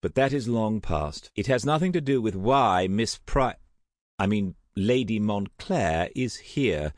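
A man playing a proud, easily affronted young gentleman in an audiobook, with a clipped, formal manner. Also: on the low side, animated, measured pace, dry recording, clean studio sound, voice pushed up. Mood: restrained, polite. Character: proud, indignant aristocratic young gentleman, courteous to his mother. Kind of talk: audiobook character acting.

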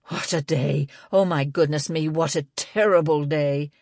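A woman's voice: sits low, fairly even intonation, measured pace, a little mellow, no room sound, studio quality. A woman narrator voicing a fussy, gloomy male librarian who grumbles and exclaims, in an audiobook. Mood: distraught. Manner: grumpy, exclamatory, muttering.

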